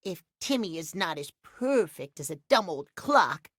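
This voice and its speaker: Cutesy, pouty catboy, very lively. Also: male voice, high-pitched, a little slow, dry recording, studio quality, exaggerated voice. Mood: whiny, sulky. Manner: childlike pouting, speaks of self in third person. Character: cutesy, sulky, lazy catboy clock. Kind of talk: video game character acting.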